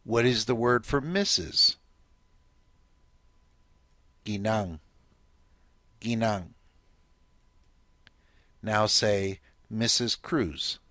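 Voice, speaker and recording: A man's voice: lowish voice, very slow, long pauses, bright, forceful tone, no echo, clean studio sound. A man teaching a recorded language lesson, patient and explanatory, prompting the listener to repeat.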